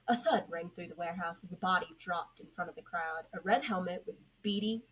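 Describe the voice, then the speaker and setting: A woman's voice: lowish voice, fairly quick. A woman reading scripted fiction aloud as an amateur audio story narrator. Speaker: podfic narrator. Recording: noisy amateur home recording.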